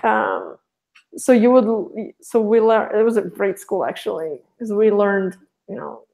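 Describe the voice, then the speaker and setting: A woman's voice: medium pitch, fairly even intonation, a little slow, creaky, mellow, low-quality recording. A young woman from Russia, a podcast guest talking casually and rambling with many restarts.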